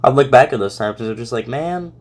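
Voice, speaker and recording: Halting, rambling man giving casual reaction commentary. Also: medium pitch, animated, a little hiss or hum. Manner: halting, rambling, heavily repeating words. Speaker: host reacting to a fan fiction audio reading.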